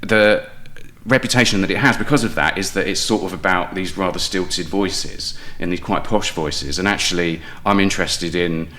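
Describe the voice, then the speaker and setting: A British man speaks in a low-pitched voice as a guest in a casual interview. His delivery is conversational and reflective, like someone explaining his own work. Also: normal speed, few pauses, bright, forceful tone. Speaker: guest, playwright and audio drama producer.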